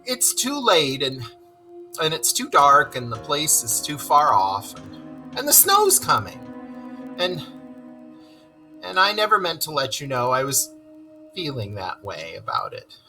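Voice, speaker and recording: A man voicing a small, homesick storybook mole who pleads in a halting, plaintive, crying voice. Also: huge pitch swings, pushed and bright, soft background music, heavily acted. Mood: anxious, reluctant. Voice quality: high-pitched, broken by sobs. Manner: halting, plaintive, repeating himself. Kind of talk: storybook read-aloud character voice.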